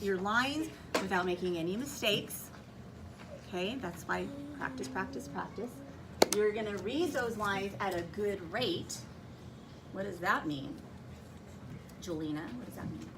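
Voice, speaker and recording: A woman's voice: medium pitch, lively intonation, measured pace, recorded from a distance. A woman teacher giving classroom instructions and often checking understanding with questions. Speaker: elementary school teacher. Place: indoor school classroom. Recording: noisy classroom with clear background noise.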